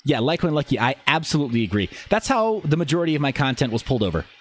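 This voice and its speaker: A man's voice: medium pitch, sing-song, rapid-fire. A man hosting a casual livestream, answering viewers and talking through what he shows, with rambling, self-interrupting asides. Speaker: live streamer and channel host. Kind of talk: casual livestream chat, answering viewer questions while demonstrating a website.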